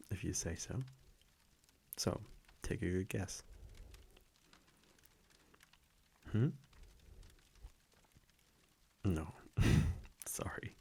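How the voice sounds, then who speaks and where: Male actor as ancient vampire lover, very deep, unhurried, tender and playful, soft close-up murmur, ASMR audio drama. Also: gravelly, breathy edge, full character voice. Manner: soft, hesitant, teasing, chuckling between phrases. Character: affectionate centuries-old vampire boyfriend, teasing and gentle. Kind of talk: intimate ASMR audio roleplay.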